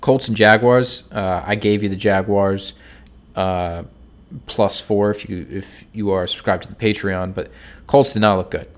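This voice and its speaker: A man hosting a sports betting podcast, talking casually through picks while nasal from a cold, low-key with frequent ums and restarts. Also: fairly even intonation, measured pace.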